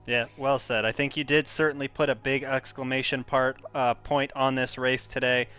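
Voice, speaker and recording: A man's voice: mid-range voice, level tone, normal speed, sharp tone, smooth, faint music behind. A man commentating an online racing broadcast, wrapping up the show with lots of ums and uhs. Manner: hesitant, filler-heavy, friendly sign-off delivery. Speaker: esports race commentator. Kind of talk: broadcast race commentary wrap-up.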